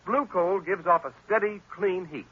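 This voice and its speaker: A man's voice: high-pitched, normal speed, breathy edge. A male announcer reading a persuasive sponsor message on an old radio program. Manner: persuasive, emphatic. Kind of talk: radio commercial read. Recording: dull lo-fi old radio recording.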